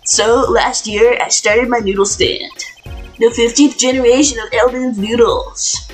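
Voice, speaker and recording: A woman's voice: on the high side, steady tone, a little slow, husky, faint music behind, poor audio. A woman voicing an indignant old male noodle-stand owner who talks in foul-mouthed slang. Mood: proud. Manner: slangy, foul-mouthed, addresses listener as bro.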